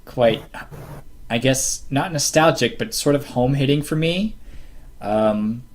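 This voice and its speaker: A man co-hosting a casual group podcast, talking haltingly as he searches for words. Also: mid-range voice. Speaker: co-host of a fan podcast. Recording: clear background noise and clicks.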